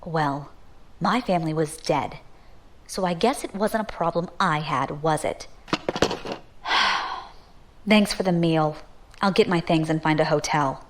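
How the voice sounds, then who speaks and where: A woman's voice: deep voice, slow, long pauses, slight background noise. A woman playing a defiant, ambitious young adventurer in an audio drama, wry and guarded in manner. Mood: bitter, resigned.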